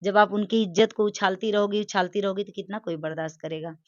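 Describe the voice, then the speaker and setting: A woman's voice: mid-range voice, steady tone, fast talker, no room sound. A woman giving emphatic opinions in a conversational interview, repeating phrases for stress. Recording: dry, dull lo-fi sound.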